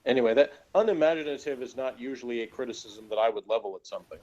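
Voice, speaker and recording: A man's voice: medium pitch, very fast, darkish tone. Guy rambling thru a casual tv review, wry n hesitant, kinda muffled lofi audio.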